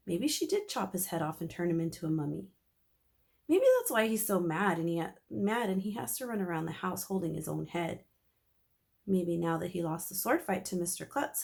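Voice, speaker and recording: A woman teacher reading a children's chapter book aloud in an expressive, animated storytelling manner. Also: lowish voice, creaky.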